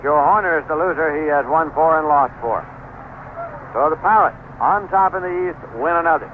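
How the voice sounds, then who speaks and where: A man calling baseball play by play on 1970s radio, describing the action in measured, short phrases. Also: fairly high pitch. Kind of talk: 1970s radio sports commentary. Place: ballpark broadcast booth. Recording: noisy, narrow-band old AM radio broadcast.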